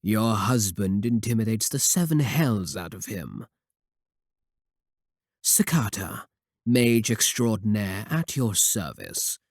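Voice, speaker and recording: A man's voice: on the low side, big pitch swings, takes it slowly, dry recording, studio quality, full character voice. A man playing a breezy, cheeky royal mage in a fantasy ASMR roleplay, talking in a casual, colloquial way. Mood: breezy, reassuring.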